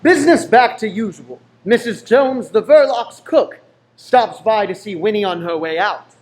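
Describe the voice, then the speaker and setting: A man serves as narrator of a radio play. His voice is high-pitched, and he delivers scripted storytelling narration. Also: expressive, moderate pace.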